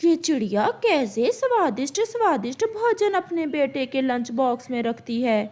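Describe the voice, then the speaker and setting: A woman plays a greedy mother crow from a cartoon. Her high voice moves up and down freely as she speaks with gloating relish. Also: big pitch swings, moderate pace, a little mellow. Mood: greedy delight. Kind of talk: children's cartoon story voice.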